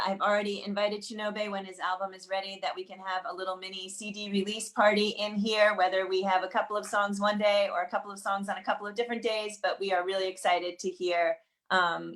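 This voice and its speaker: A woman's voice: mid-range voice, level tone, brisk, fairly bright tone. Woman hosting an online sing-along. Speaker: host. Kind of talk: online singing session host.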